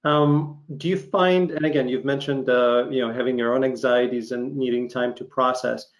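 Casting: man from Ukraine, non-native English speaker, mid-pitched, supportive casual interviewer who feels his way through questions. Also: steady tone, normal speed. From Ukraine, former Soviet Union. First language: not English; learned it as a teenager. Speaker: interviewer, host.

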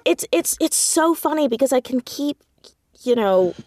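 A woman speaking as a creative guest in a casual interview, animated and self-deprecating, often laughing as she talks. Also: high-pitched, normal speed, no room sound, studio quality. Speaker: podcast guest, audio drama creator and writer.

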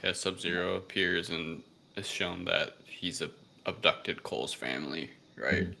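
A man's voice: low-pitched, unhurried, creaky, pushed and bright, a little hiss or hum. A man co-hosting a podcast, recapping and reviewing a film in a loose, informal, conversational way. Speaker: podcast co-host. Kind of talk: casual film review chat.

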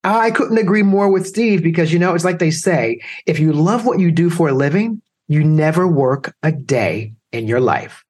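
Man, podcast host, fairly high voice, husky, upbeat scripted intros. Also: lively intonation, moderate pace, hardly any pauses, darkish tone.

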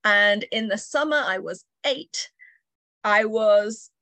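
A woman's voice: mid-range voice, takes it slowly, no room sound. Female guest from China, reflective storyteller, dry close mic. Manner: warm reflective storytelling, light laughs. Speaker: entrepreneur guest. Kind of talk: interview storytelling.

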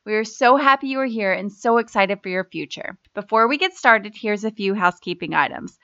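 A woman's voice: medium pitch, expressive, a little fast, pushed and bright, no echo. A woman co-hosting a podcast, reading an upbeat scripted intro and promotion. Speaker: podcast co-host. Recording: dry, dull lo-fi sound.

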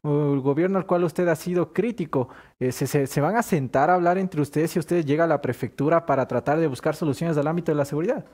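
A man's voice: fairly high pitch, fast talker, few pauses, darkish tone. A man interviewing a candidate, asking long, formal, polite questions with some hesitation. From Ecuador.

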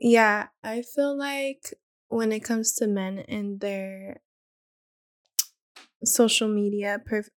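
A woman co-hosting a podcast, reflecting in a level, unhurried way about giving people the benefit of the doubt. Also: medium pitch, level tone, no echo, clean studio sound. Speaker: podcast co-host. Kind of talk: casual podcast chat.

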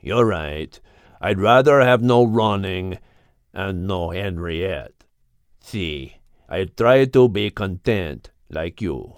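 A man voicing a restless but polite henpecked bull in a storybook, with a Spanish accent and a slow grumbling delivery. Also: low voice, animated, no echo, studio quality, pitched below the performer's own voice, exaggerated voice. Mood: restless, resigned.

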